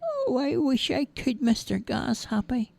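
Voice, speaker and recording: Woman voicing a high, stammering young boy for a storybook. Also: huge pitch swings, unhurried, creaky, a little mellow, no echo, heavily acted. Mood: wondering. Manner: hesitant, stammering. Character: young boy, tearful and wondering.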